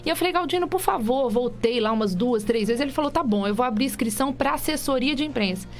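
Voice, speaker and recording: A woman's voice: high-pitched, animated, fast talker, soft background music. A woman in her thirties with a light Minas Gerais accent, chatting as a guest in a radio interview and telling her story with lots of restarts. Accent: light Minas Gerais (mineiro). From Minas Gerais, Brazil. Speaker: guest, TV journalist and presenter. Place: radio studio.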